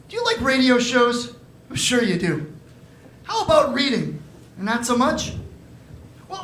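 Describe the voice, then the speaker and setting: A woman's voice: high-pitched, a bit bright, a little hiss or hum. A woman announcing a live radio show with a playful, salesy manner. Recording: roomy live stage recording, music behind.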